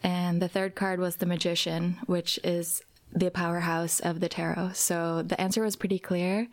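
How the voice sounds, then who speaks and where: Soft-spoken young woman, slightly low. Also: flat delivery. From Norway. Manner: soft-spoken, thoughtful, with pauses and fillers. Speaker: guest performer. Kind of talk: casual interview.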